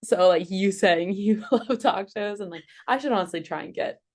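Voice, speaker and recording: A woman's voice: mid-range voice, moderate pace, a little mellow. A young adult woman hosting a podcast in casual chat, bubbly and gushing, with lots of "like" and "oh my God". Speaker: podcast host.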